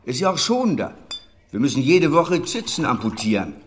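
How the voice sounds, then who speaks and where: A man's voice: medium pitch, sing-song, moderate pace, slight background noise, close to an everyday voice. Man playing earthy, fatherly older dairy farmer. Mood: matter-of-fact, wry. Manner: earthy, colloquial, wry, chuckling asides. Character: gruff, hearty older dairy master, fatherly to his former apprentice. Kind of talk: naturalistic German radio drama acting.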